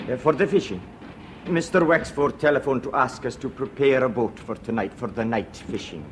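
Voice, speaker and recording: An actor playing a polite island oil rig worker in a radio drama, speaking courteously and with some hesitation. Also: male voice, medium pitch, moderate pace, slight background noise, natural voice. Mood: friendly. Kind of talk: 1970s radio drama acting.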